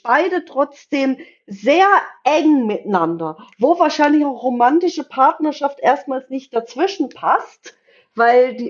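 A woman's voice: sits high, a little mellow, husky. A woman co-hosting a podcast, casually discussing a series and repeating phrases for emphasis. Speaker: podcast co-host. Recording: slightly dull, lo-fi microphone sound.

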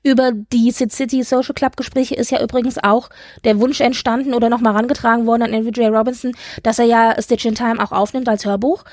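A woman with a fairly high voice co-hosts a casual fan podcast. She is chatty, emphatic and enthusiastic. The sound is very dry, with hardly any room to it. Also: steady tone, fairly quick, darkish tone, no room sound. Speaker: podcast co-host.

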